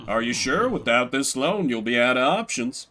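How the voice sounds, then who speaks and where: Businesslike game loan official, acted by a man, measured, formal, bright-edged delivery. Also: mid-range voice, animated, pitched below the performer's own voice. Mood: coolly persuasive. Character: businesslike loan official offering grim terms.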